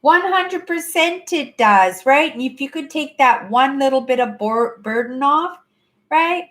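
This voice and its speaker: A woman in her fifties talks to her viewers from her apartment in a slightly high voice. She rambles, circles back over her own words and keeps checking in with "right?". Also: animated, unhurried, fairly bright tone. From Canada. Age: 50s. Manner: rambling, repeats herself, tags sentences with "right?". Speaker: livestream host. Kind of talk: casual live chat to viewers.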